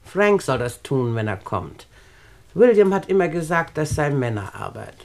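An actress playing a domineering, wealthy old aunt in a German radio play, sarcastic and condescending. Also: female voice, low-pitched, sing-song, moderate pace, vocal fry, a little mellow, exaggerated voice. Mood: cool, dismissive.

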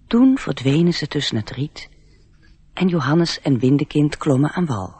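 A man's voice: a little high, darkish tone, slightly rough. A man narrating a radio play in a scripted storybook style.

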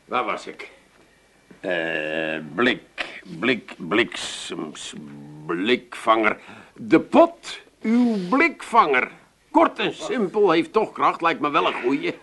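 An actor playing a brusque amateur jingle writer in a comic radio drama, declaiming grandly and muttering corrections to himself. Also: male voice, medium pitch, lively intonation, slow pace, sharp tone, full character voice. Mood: pompous, composing. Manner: theatrical declaiming broken by muttered self-corrections.

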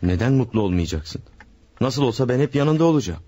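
An actor playing a farmer husband who hides a murder and calmly soothes his new wife in a radio play. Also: mid-range voice, fairly quick. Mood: soothing. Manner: soothing, reassuring, smooth-talking. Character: scheming farmer husband, secretly a killer. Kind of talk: classic radio drama acting.

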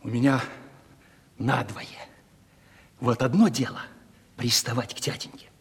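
Radio play lovesick provincial merchant's son, young male, folksy and browbeaten, rough-edged voice in a reverberant studio. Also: mid-range voice, measured pace, exaggerated voice. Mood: brooding, teasing. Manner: folksy provincial merchant speech, animated storytelling. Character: lovelorn young merchant's son, browbeaten, gloomy yet boastful. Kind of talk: 1970s Russian radio play stage acting.

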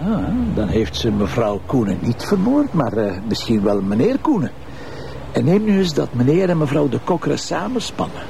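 A man's voice: mid-range voice, big pitch swings, moderate pace, gravelly, dark tone, a little hiss or hum. A man playing a shrewd Flemish police investigator who presses with pointed questions in a TV crime drama. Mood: insistent, probing. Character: shrewd, insistent male police investigator reasoning through a case. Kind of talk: naturalistic TV crime drama acting.

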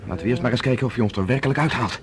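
An actor playing a level-headed, decisive man in a science fiction radio drama, calm and commanding. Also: medium pitch, very fast, close to an everyday voice. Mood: calm, concerned. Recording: faintly noisy radio play recording.

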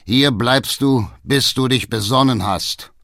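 A man's voice: medium pitch, takes it slowly, a bit bright, no room sound, studio quality. Man acting a furious, domineering old father. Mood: grim command. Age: older. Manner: barking, wrathful, commanding.

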